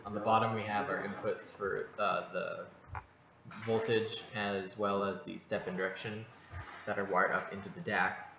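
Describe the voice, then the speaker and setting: Male student presenter, halting technical explanation, dull sound with clear background noise. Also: lowish voice, little pitch movement. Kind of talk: technical project demo narration. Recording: noisy, dull, echoey room.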